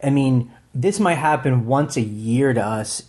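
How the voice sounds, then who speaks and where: A man's voice: medium pitch, measured pace, darkish tone. An American man chatting casually as a podcast co-host. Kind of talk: casual chat.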